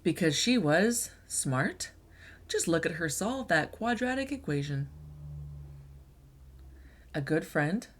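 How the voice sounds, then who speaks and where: A woman reading a children's picture book aloud in an expressive storyteller's delivery. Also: fairly low pitch, slow pace, pauses often, slight background noise.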